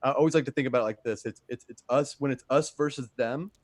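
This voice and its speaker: A man co-hosting a home radio drama show, chatting casually and repeating words haltingly as he explains. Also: mid-range voice, dry recording, studio quality. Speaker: radio drama actor and co-host. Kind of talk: casual chat during intermission.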